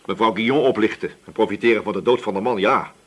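Husky, probing police inspector. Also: male voice, on the low side, fast talker. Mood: musing, assured. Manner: musing, hesitant probing with repeated questions. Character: shrewd male police inspector, casually probing, patient. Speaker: actor in a radio play. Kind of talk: radio drama dialogue.

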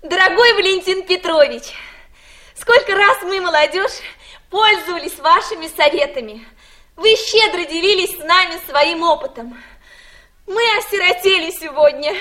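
A woman's voice: very high-pitched, normal speed, pushed and bright. An actress playing a gushing young woman activist who laments declamatorily in a satirical radio play. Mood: tearful gushing. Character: gushing, wailing young Komsomol secretary woman. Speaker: actor in an audio play.

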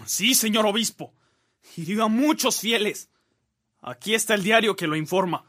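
An actor playing an alarmed, deferential aide to a bishop in a radio drama, speaking urgently and respectfully. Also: male voice, high voice, moderate pace, pushed and bright.

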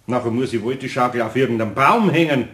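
An older Bavarian master craftsman, acted by a man with a mid-pitched voice. He is dry, homely and kindly under his grumbling, heard in a roomy radio play recording. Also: quick pace, fairly bright tone, natural voice. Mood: dryly teasing. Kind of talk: German children's radio play acting.

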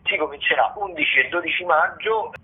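A man presenting a semi-improvised rundown of listings on the radio, in a halting delivery with drawn-out words. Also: fairly high pitch. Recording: thin phone-like band-limited radio sound.

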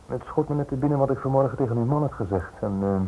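A man playing a courteous Scotland Yard police inspector in a radio play, measured and politely firm as he warns. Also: mid-range voice, lightly acted. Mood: firm warning. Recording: tinny, noisy old radio play recording.

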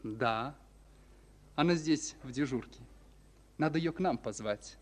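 An actor playing a genial, lively subordinate soldier with a husky voice in a wartime radio drama. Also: male voice, mid-range voice, measured pace, in a large hall, lightly acted. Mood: hospitable, cheery.

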